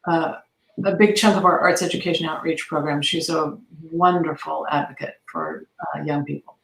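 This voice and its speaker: A woman's voice: low voice, fairly even intonation, moderate pace, gravelly. A woman giving enthusiastic, conversational answers as a guest in an interview. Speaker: guest, theatre arts advocate. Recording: roomy, echoey sound.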